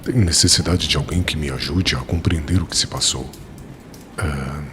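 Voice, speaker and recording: An actor playing a weary, dignified learned man, formal and measured, in a dramatized audiobook. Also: rumbling low, pushed and bright, slight background noise. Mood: weary, grave.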